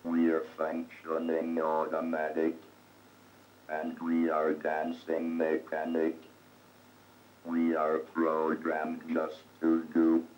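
A male robot voice from an old 1980s computer speech synthesizer, extremely low and very slow. It recites its lines in a stilted, mechanical monotone with a buzzy synthetic tone. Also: muffled recording. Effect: vintage computer speech synthesizer. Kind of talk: robotic recitation of song lyrics.

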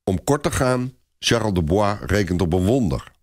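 A man narrating a dramatic historical story for a radio play in an expressive storytelling manner. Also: fairly low pitch, moderate pace.

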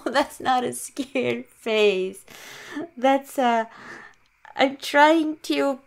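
A woman acts out a cute young female werewolf in an intimate roleplay. Her voice is high and her delivery very slow and halting. She comes across as pouty, flustered and unsure of herself. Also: exaggerated voice. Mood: pouty, protesting. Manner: halting, pouty, self-deprecating. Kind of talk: improvised ASMR roleplay, talking to listener.

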